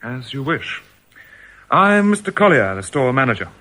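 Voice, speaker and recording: Crisp, formal store manager. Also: male voice, medium pitch, sing-song, normal speed, sharp tone, poor audio. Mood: formal, guarded. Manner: polite, officious. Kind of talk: radio drama acting.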